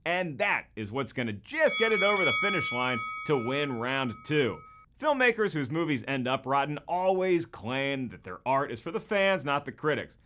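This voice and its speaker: A man's voice: mid-range voice, big pitch swings, moderate pace, pushed and bright, slight background noise. A man hosting scripted comedic commentary, with a wisecracking manner full of rhetorical questions. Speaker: host and comedian.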